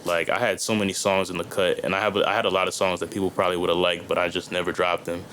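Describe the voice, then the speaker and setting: A man's voice: deep voice, level tone, quick pace, a bit bright, a little hiss or hum. A young man, a guest rapper on a podcast, talking in a loose, rambling way full of slang and fillers. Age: young adult. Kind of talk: casual chat.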